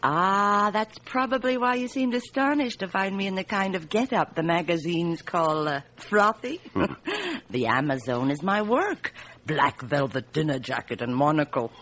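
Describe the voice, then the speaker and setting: A woman's voice: lowish voice, lively intonation, moderate pace, a bit bright, a little hiss or hum. An actress playing a candid, amused Frenchwoman in her thirties in a radio drama, chatty and hesitant. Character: candid, amused 34-year-old Frenchwoman, plump bar hostess.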